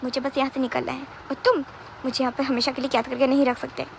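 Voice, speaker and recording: Storybook captive played by a woman: young man, indignant, stammering, high and creaky. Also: little pitch movement, quick pace, slight background noise. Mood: defiant, indignant. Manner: indignant, stammering, repeats demands. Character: angry, defiant captive young man, pleading and shouting.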